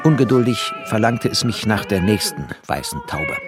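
A man's voice: fairly low pitch, wildly swooping pitch, normal speed, music in the background. An actor playing a wry, bookish refugee who narrates his journey tersely and sardonically in a literary radio play. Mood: sardonic.

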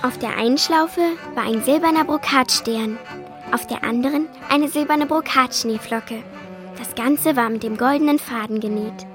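A woman's voice: high-pitched, moderate pace, over music, lightly acted. A female performer playing a young girl who narrates her family's story in a children's radio play. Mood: narrating. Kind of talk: scripted first-person narration for children.

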